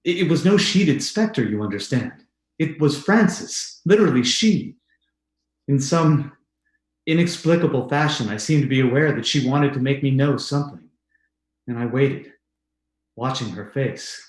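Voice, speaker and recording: An earnest widower , acted by a man, speaks with halting intensity, as if haunted. The delivery is formal and theatrical, in old-time radio drama style, with a clearly echoing room around the voice. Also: mid-range voice, animated, darkish tone, natural voice. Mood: awed, intense. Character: earnest, haunted bereaved husband. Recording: roomy, echoing sound.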